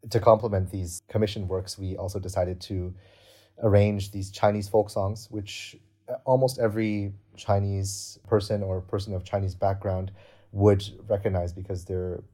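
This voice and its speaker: A deep-voiced young man talks through his project as an interview guest. His delivery is earnest and explanatory, worked out aloud. The tone stays mellow and dark, with little rise and fall. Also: little pitch movement, moderate pace. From Canada. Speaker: guest cellist. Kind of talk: interview answers.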